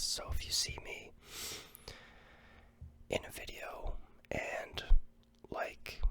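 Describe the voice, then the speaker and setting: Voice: extremely high pitch, vocal fry, pushed and bright. A person in a fully unvoiced ASMR whisper, a little stuffy from a cold, rambling casually with many ums into a close microphone. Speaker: ASMR vlogger.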